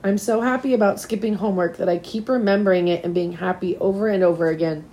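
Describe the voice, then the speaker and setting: A woman with a slightly hoarse, recovering voice, reading a children's novel aloud as a teacher does for a class. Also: mid-range voice, fast, warm tone, a little hiss or hum.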